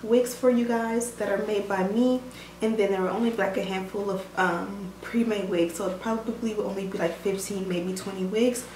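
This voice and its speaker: A woman reviewing a wig for her viewers in casual chat, her voice hoarse and scratchy from a cold, with many fillers. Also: mid-range voice, fairly even intonation, normal speed, darkish tone. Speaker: beauty vlogger reviewing a wig. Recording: roomy room sound, mic at a distance, faint noise.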